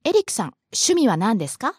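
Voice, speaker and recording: A woman from Japan, teaching on a bilingual podcast language lesson and reading example phrases in a lively way. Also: a little high, racing, breathy edge, dry recording, studio quality. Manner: lively, gives example phrases and chuckles along.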